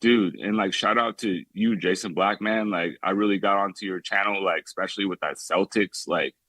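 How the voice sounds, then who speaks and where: A man's voice: low-pitched, fairly even intonation, moderate pace, bright, forceful tone, dry recording. A young man from the Bay Area calling in to a talk show, rambling casually and filling nearly every phrase with "like". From San Francisco Bay Area. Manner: rambling, heavy use of "like", many restarts. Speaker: caller. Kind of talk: casual call-in chat.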